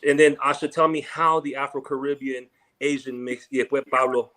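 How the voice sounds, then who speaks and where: Energetic slangy male panel moderator. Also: medium pitch, a little fast. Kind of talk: casual panel moderation, mixing in Spanish.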